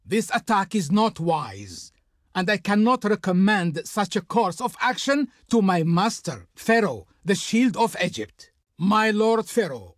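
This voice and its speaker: Imperious ancient Egyptian diplomat, pompous, scornful, highly animated pitch, dry close sound, video game. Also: male voice, no echo. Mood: haughty refusal. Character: haughty, scornful male Egyptian envoy of the Pharaoh. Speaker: diplomat character in a strategy game. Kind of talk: theatrical scripted game voice lines.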